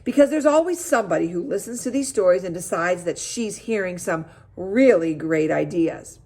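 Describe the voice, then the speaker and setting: A woman's voice: on the low side, lively intonation, normal speed, darkish tone, slightly rough. A woman voicing a proud, evasive female camp director who explains things at length in a children's storybook.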